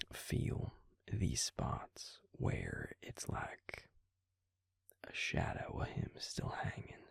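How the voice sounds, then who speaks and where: Guy doing a soft southern vampire for audio rp, super croaky and breathy, gentle n concerned, talks right up close. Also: dry recording, exaggerated voice. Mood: grave, thoughtful. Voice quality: breathy, husky, gravelly low voice. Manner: hushed, halting, thoughtful, intimate delivery. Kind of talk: intimate audio roleplay addressed to the listener. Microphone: close mic, dry sound.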